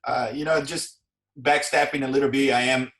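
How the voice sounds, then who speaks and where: A man with a Brazilian accent and Portuguese as his first language, talking haltingly about his career as an interview guest. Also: mid-range voice, steady tone, a bit bright. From southern Brazil. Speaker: podcast guest, sports executive. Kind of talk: conversational interview, telling own career story. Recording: roomy, echoey sound.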